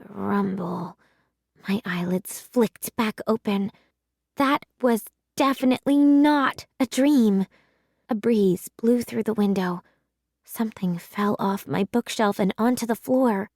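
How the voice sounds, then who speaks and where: A woman reading a children's story aloud with measured, dramatic storytelling. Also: fairly high pitch, creaky, a little mellow, no echo, clean studio sound. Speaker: children's audiobook reader.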